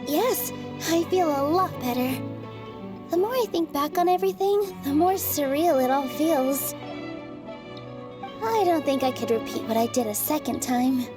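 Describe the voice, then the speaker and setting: A gentle, earnest young dancer from a video game, with a high, light voice. She speaks softly and sincerely, like someone openly sharing what matters to her. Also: expressive, measured pace, music in the background. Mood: reminiscent. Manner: soft, sincere and warm-hearted.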